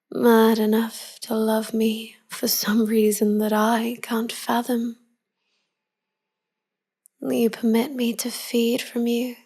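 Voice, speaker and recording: A woman acts out a devoted vampire wife murmuring to her human lover at night in a roleplay audio. Her voice is soft and breathy, often dropping into a whisper, with a creaky edge. She speaks slowly and confidingly, tender and full of melancholy. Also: a little high. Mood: tender, guilty. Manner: slow, hushed, confiding. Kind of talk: intimate whispered pillow-talk roleplay audio.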